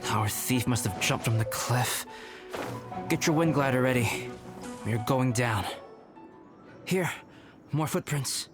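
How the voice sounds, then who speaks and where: A man's voice: medium pitch, takes it slowly, long pauses, over music, lightly acted. An actor playing a courteous young male adventurer in a video game, earnest and cautious, with polite, formal phrasing. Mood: urgent.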